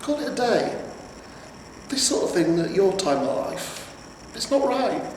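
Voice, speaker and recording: A man's voice: sits high, very animated, measured pace, recorded from a distance, pitched above the performer's own voice. A man voicing a nagging, cautious talking horse in a fantasy storybook reading. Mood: worried nagging. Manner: nagging, questioning. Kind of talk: acted character dialogue in a fantasy storybook reading. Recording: very echoey reverberant room, faint noise.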